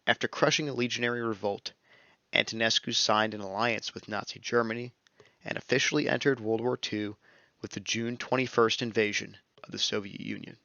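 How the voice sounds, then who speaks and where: A young man narrating a scripted history lesson in a steady, measured reading voice, as a close voice-over. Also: lowish voice, creaky, dry recording. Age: young adult. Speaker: student narrator. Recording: dull lo-fi dry recording.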